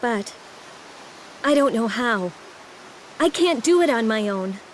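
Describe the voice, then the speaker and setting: Young fantasy heroine in a game, pretty high voice, earnest n gentle, bit hesitant but resolute. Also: female voice, lively intonation, slow pace, a little hiss or hum, low-quality recording. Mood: pleading, resolute. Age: young adult. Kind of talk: video game cutscene voice acting.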